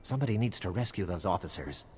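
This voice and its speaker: An actor playing a streetwise, wary and wry private investigator in a video game cutscene. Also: male voice, low-pitched, animated, fast, long reverb tail, a little hiss or hum. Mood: wary, urgent.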